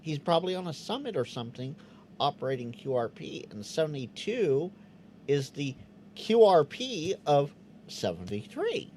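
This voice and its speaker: A man's voice: a little high, big pitch swings, normal speed, a little mellow, a little hiss or hum. A man hosting a live ham radio Q&A stream, chatting casually with viewers, often restarting his words and sounding out Morse code.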